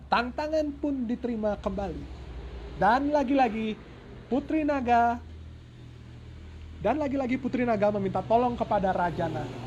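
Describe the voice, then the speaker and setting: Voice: mid-range voice, animated, normal speed, pauses often, darkish tone, dull audio. A storyteller tells a folk tale informally and dramatically, with playful joking asides and self-corrections. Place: at home. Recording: noisy home recording with ambient sound.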